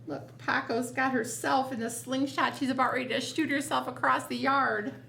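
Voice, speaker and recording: A woman's voice: high voice, fairly even intonation, moderate pace, vocal fry, sharp tone. A woman reading a picture book aloud to children as a storytime reader, expressively and with chatty asides. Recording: roomy echo, noticeable background noise.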